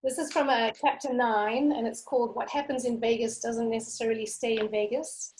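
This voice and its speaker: A woman author with a soft voice, talking candidly over a video call and reading from her memoir. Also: fairly high pitch, steady tone, normal speed, low-quality recording. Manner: candid, conversational. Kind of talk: interview answers and memoir reading.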